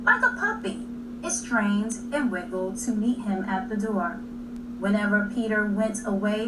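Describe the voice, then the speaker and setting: A woman reading a children's story aloud in an expressive, deliberate way, leaning on key words. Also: medium pitch. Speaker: storybook reader. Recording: distant mic with clear background noise.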